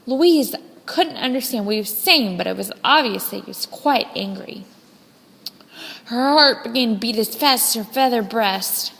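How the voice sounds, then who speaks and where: A woman narrates a children's story in a fairly high voice with a touch of creak. Her phrasing is expressive and deliberate. A long reverb hangs around the recording. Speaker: storybook reader.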